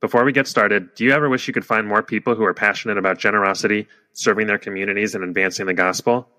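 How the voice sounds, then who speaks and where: Young guy host reading the intro, low-ish but bright crisp voice, upbeat. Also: fairly even intonation, fast talker. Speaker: podcast host. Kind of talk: scripted podcast intro.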